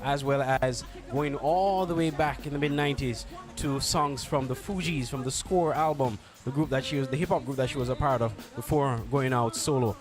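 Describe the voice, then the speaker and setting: A man's voice: mid-range voice, normal speed. A man giving an unscripted news report on location at a music festival. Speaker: field reporter. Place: at a live music festival at night. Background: festival concert music.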